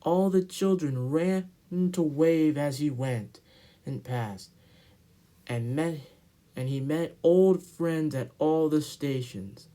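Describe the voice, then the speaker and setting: Slow, mellow man reading a storybook. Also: on the high side. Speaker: narrator. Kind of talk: amateur children's storybook reading.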